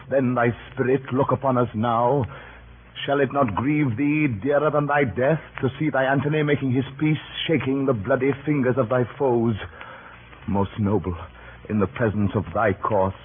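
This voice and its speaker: A man's voice: mid-range voice, lightly acted. An actor playing a grieving, vengeful Roman nobleman in declamatory classical radio drama style. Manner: declamatory, theatrical. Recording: scratchy, tinny old radio drama recording.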